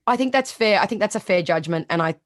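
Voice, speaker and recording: A woman in her thirties with a raspy voice and a strong Australian accent, talking bluntly as a guest in a casual interview. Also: medium pitch, fast talker, no room sound, clean studio sound. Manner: blunt, animated, swears freely. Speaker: sports reporter, guest.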